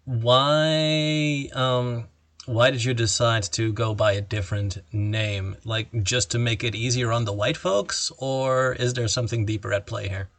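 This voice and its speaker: A man's voice: mid-range voice, fairly even intonation, measured pace, a bit bright, no echo. A man hosting a casual interview, asking playful, joking questions. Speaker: podcast host.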